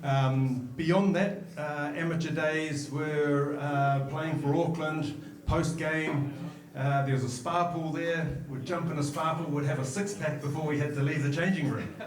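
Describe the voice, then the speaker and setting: A man in his forties with a New Zealand accent tells stories from his career at a measured pace, pausing often and reaching for words. His voice sits fairly high for a man. The room rings around him, and a crowd murmurs in the background. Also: fairly even intonation, reverberant, far from the mic. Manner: reflective, measured storytelling with many fillers. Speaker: guest. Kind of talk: podcast interview.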